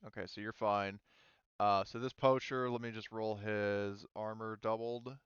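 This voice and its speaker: A man with a clear voice running a tabletop roleplaying game, talking casually and swearing now and then. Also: lowish voice, level tone, measured pace, no echo, lo-fi sound. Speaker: game master.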